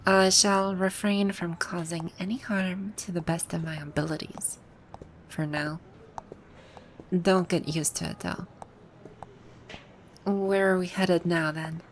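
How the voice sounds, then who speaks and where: A woman playing a haughty, grouchy video game villain boss with a secretly soft side, speaking in imperious, formal grumbles in an ASMR roleplay. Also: lowish voice, steady tone, takes it slowly, pauses often, slight background noise. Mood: grudging. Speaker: audio roleplay voice actor.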